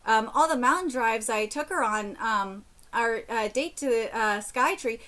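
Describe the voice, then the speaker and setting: A woman's voice: on the high side, unhurried, fairly bright tone, voice pushed down. A woman reading as a regretful young husband. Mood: rueful. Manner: hesitant reading with fillers.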